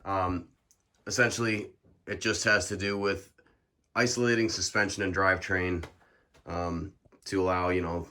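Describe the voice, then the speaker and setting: Deep-voiced sniffly young man. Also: normal speed, long pauses. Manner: relaxed, filler-heavy, explanatory. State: sniffly from flu. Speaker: bike vlogger. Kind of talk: casual bike check walkthrough.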